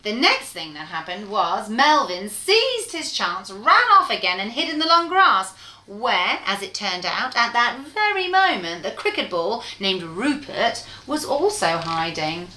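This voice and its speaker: A woman reading a children's bedtime story aloud in an expressive, playful way, speaking directly to her listener. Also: mid-range voice, a little slow. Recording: roomy, echoey room sound.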